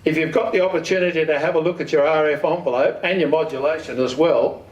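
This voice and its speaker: An Australian man with a slightly high-pitched voice gives an informal club presentation, recorded from a distance in a very echoey room. He is plain-spoken and often poses questions that he then answers himself. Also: fairly even intonation, fast talker, highs cut off. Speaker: amateur radio club speaker. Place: community hall.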